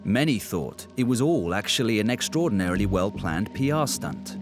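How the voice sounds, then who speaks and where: A man's voice: on the low side, very animated, moderate pace, music in the background. A man reading scripted documentary narration.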